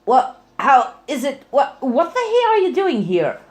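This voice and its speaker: A woman's voice: high-pitched, huge pitch swings, a little slow, pitched above the performer's own voice, exaggerated voice. A woman voicing a plain-spoken, practical young female farm pony with folksy phrasing. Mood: startled.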